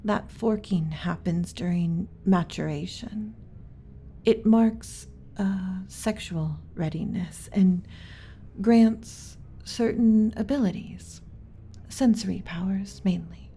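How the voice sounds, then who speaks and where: Woman as a slow, soft-spoken submissive alien servant. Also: mid-range voice, a little hiss or hum. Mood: explaining, hushed. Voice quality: soft, breathy with whispered stretches. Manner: hesitant, deferential, careful pauses. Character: submissive alien beta servant woman, gentle, apologetic, secretly curious. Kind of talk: ASMR roleplay, soft intimate delivery.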